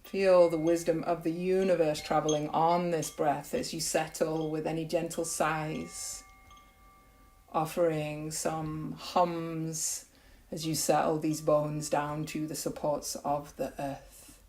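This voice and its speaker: A woman yoga nidra teacher leading a guided meditation in a gentle, unhurried, soothing way with long pauses. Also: low-pitched, flat delivery, slight background noise.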